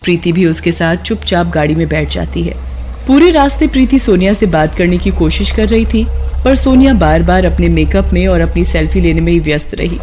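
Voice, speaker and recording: A woman's voice: lowish voice, animated, brisk, dark tone, slight background noise. A woman storyteller reading scripted narration for a moral tale.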